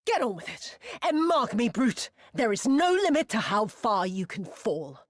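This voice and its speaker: Slow, taunting, cruel woman. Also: a little high, big pitch swings, no echo, studio quality. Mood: commanding. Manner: theatrical, taunting, drawn-out delivery dropping to breathy whispers. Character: cruel, vengeful, sadistic woman, mocking and imperious. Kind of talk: dramatic video game cutscene acting.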